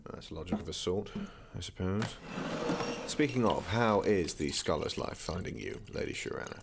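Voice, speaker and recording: An actor playing a condescending young prince studying magic in an audio drama, speaking in a formal, measured, haughty manner. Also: male voice, deep voice, expressive, vocal fry, noisy background. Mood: dry, polite.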